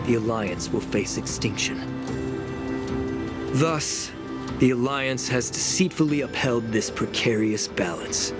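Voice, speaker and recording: An actor playing a grave, self-righteous, scheming elder of a dragon race in a fantasy game, delivering formal speeches in a declamatory way. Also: male voice, a little high. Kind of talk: scripted fantasy game voice acting. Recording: loud music behind. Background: game music.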